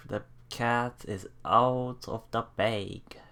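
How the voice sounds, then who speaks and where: A man hosting a let's play, reading game text aloud with casual, halting reactions and repeated words. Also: mid-range voice, vocal fry, fairly bright tone. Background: game music.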